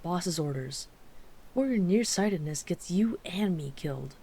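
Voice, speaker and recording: A woman's voice: fairly low pitch, expressive, a little slow, dark tone, breathy edge, full character voice. A woman playing a wry, chatty, flirtatious mafia boss with an apologetic streak in an ASMR roleplay. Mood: exasperated. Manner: wry, exasperated, chatty, teasing. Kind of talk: ASMR roleplay audio drama.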